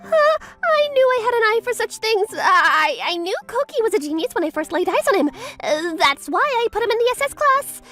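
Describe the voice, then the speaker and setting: A woman's voice: very high-pitched, sing-song, brisk, hardly any pauses, sharp tone, faint music behind, exaggerated voice. A woman playing a haughty, scheming schoolteacher in an anime-style comic dub, theatrical, flustered and pleading. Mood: desperate pleading. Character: haughty, scheming female schoolteacher desperate for a rich fiancé.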